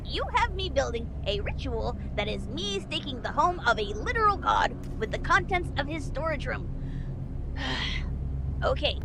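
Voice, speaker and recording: A woman's voice: high voice, background noise. A woman playing an exasperated, sarcastic expert in magic rituals in an audio drama, dry and grumbling.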